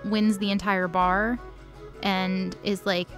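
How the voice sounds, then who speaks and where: Female comedic co-host, mid-pitched, conversational and slangy, dry sarcastic asides, music underneath. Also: flat delivery, takes it slowly, a bit bright. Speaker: co-host recapping a story. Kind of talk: casual comedic storytelling chat.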